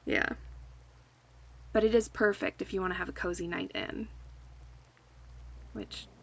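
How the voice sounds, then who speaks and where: A woman playing an affectionate, chatty friend in a casual, intimate ASMR roleplay, speaking softly and in a relaxed way. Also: lowish voice, measured pace, vocal fry, full character voice. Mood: cozy, chatty. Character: affectionate young woman, cozy longtime friend hosting a night in. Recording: faint background ambience noise.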